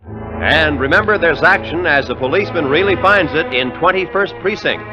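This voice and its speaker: A man's voice: a little high, normal speed. An American man announcing scripted credits and promos in a polished 1950s network radio style with dramatic emphasis. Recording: muffled 1950s radio recording, faint music.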